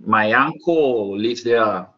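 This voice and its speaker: A man's voice: mid-range voice, slow, fairly bright tone, lo-fi sound. A man in his forties with an Argentine Spanish accent, a chef chatting expressively and informally in non-native English as an interview guest. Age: 40s. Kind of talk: conversational interview storytelling.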